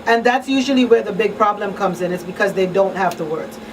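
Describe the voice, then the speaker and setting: A woman's voice: mid-range voice, brisk, far from the mic. A woman hosting a live radio talk show, chatting with listeners in a small studio. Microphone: studio microphone on boom arm. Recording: off-mic room sound, faint noise.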